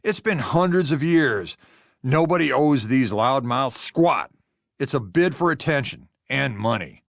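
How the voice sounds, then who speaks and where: Grumbling man, gravelly creak, scornful, dismissive, video game townsman, dry sound. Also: mid-range voice, expressive, measured pace, no room sound. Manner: dismissive, disgruntled.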